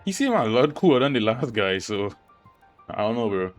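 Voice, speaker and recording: A man's voice: mid-range voice, very animated, normal speed. A man giving casual, slangy playthrough commentary, joking and talking back to the screen. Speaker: gaming streamer. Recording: game music behind the voice.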